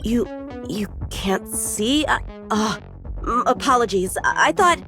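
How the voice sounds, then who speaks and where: An eager young swordsman for a video game dub. The boyish voice sits fairly high and swings with lively inflection. He is earnest, polite and sure of himself, with music playing behind him. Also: slow pace, full character voice. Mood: flustered. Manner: earnest, animated. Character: eager, confident teenage boy swordsman, polite and talkative.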